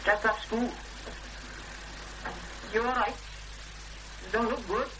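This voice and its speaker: An actor playing an awkward, wary young Welsh farm lad in a 1970s radio drama, clipped and hesitant. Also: male voice, very high-pitched, fairly even intonation, takes it slowly. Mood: wary, uneasy. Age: young adult. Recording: noisy outdoor radio drama recording.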